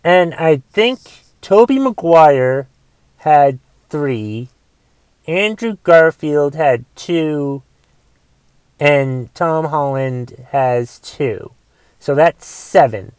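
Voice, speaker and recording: A man with a raspy voice from a cough, rambling casually and with some swearing as he reviews a movie. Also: a little high, animated, very slow, a little mellow, no echo. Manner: rambling, profane, wondering aloud.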